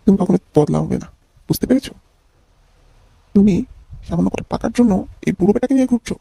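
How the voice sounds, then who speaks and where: A man voicing a bitter young jilted ex-boyfriend with a high, husky voice and a needling manner in an amateur comedy cartoon. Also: expressive, fast talker, warm tone, dry recording, full character voice. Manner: taunting, needling.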